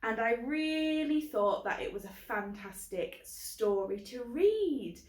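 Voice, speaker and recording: A woman's voice: a little high, very animated, takes it slowly, a little mellow. A woman presenting a children's book club in an encouraging way, recorded with a roomy echo. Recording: roomy, echoing sound.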